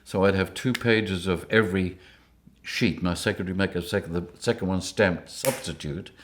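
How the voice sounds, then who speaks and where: An older man with a very deep, low-pitched voice. He tells his stories in a lively way and keeps breaking into chuckles. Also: moderate pace, a little hiss or hum. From Rhodesia. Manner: lively anecdotes, chuckling often. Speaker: interviewee. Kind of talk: storytelling interview.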